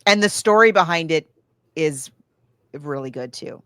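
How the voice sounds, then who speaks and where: Female podcast co-host, lowish voice, dry close sound, gushing and enthusiastic in casual chat. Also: expressive, unhurried, pushed and bright, no echo. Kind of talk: casual film and book discussion.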